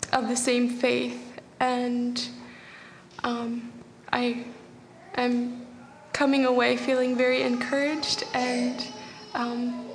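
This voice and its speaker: A young woman from Pennsylvania and Tanzania giving a hesitant testimony at a Bible school, with many filler pauses. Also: on the high side, slight background noise. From Pennsylvania, USA and Tanzania. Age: young adult. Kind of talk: spoken testimony. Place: church hall. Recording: echoey hall with long reverb.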